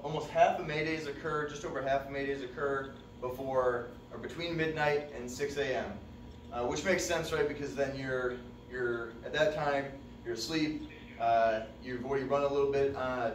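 A young man teaches a room of firefighters, talking loosely and conversationally, often pausing on "uh." He stands well back from the camera, so his voice carries the reverberation of the classroom. A faint hum of room noise sits underneath. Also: mid-range voice. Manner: relaxed, conversational, many "uh" fillers. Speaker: fire service instructor. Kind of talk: classroom training presentation. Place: dim training room with projector screen. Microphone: far camera. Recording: echoey room, distant mic.

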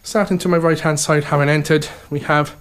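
A man's voice: a little high, level tone, brisk, smooth. A man, an estate agent, giving an upbeat walkthrough tour of a house, full of sales patter and repeated "okay" and "fantastic".

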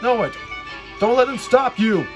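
Urgent engine driver voiced by a man, raised pitch. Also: animated, unhurried, over music. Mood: urgent warning. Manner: emphatic, urging.

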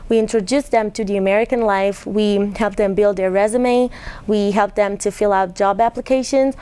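Italian-accented young woman, language lab staff; resonant, clear delivery; earnest guest explaining her work. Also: mid-range voice, steady tone, moderate pace, warm tone. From Italy. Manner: earnest, explanatory, with small restarts. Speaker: ESL lab facilitator, guest. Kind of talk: college TV interview.

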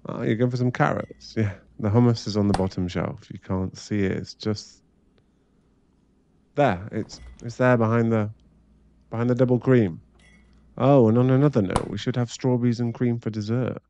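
A man's voice: mid-range voice, fairly even intonation, normal speed, warm tone, dull audio, slight background noise, natural voice. A British man playing a caring, chatty young boyfriend who is easily flustered, in an intimate ASMR roleplay. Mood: casual, affectionate.

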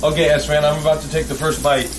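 A man's voice: on the high side, fairly even intonation, fast talker. A young man chatting casually as a guest on a livestream at a restaurant table, with food sizzling. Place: Korean barbecue restaurant, indoors.

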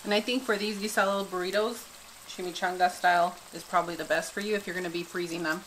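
A woman in her thirties hosting a casual cooking demonstration in a bright home kitchen, chatty and upbeat, laughing often. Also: lowish voice, fairly even intonation, quick pace, a little hiss or hum, poor audio. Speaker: cooking show host.

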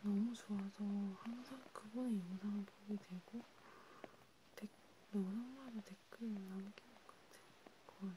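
A woman whispers casual ASMR chat, her voice mostly breath with little tone. She murmurs in short, halting phrases with pauses between them. Noticeable background noise runs under the recording. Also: medium pitch, fairly even intonation, mellow, low-quality recording. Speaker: ASMR creator.

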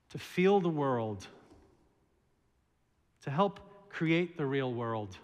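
Middle-aged male minister, mid-pitched, slow and measured sermon delivery, reverberant church hall. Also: fairly bright tone. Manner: slow, measured preaching with storytelling asides. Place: large church sanctuary, at a pulpit. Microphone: pulpit microphone.

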